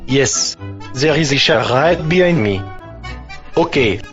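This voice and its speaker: A man's voice: medium pitch, lively intonation, takes it slowly, a bit bright, highs cut off, soft background music. A man voicing a bumbling, pompous police officer puppet with a stilted, literal-minded manner. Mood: bossy, slow-witted. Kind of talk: comic puppet show acting.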